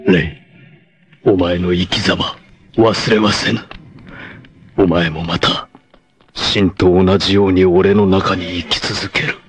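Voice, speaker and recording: A stern anime martial arts hero, delivering a grave eulogy. His voice is very deep and the delivery slow and measured. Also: flat delivery. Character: stern grown male martial arts hero mourning a fallen friend. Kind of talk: 1980s anime voice acting.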